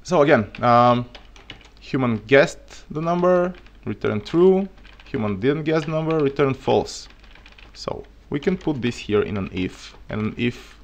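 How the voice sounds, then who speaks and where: A man with a Bulgarian accent, a native Bulgarian speaker, teaching programming on a live coding stream. He thinks aloud as he works and jokes in a relaxed way, speaking into a desk mic at his computer. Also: mid-range voice, a little slow, lo-fi sound.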